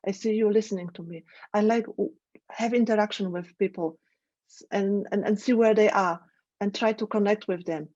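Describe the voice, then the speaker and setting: A woman with a Polish accent, a trainer giving a live online lecture, thoughtful and halting, often restarting her phrases. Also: medium pitch, steady tone, a little mellow, highs cut off. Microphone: video call.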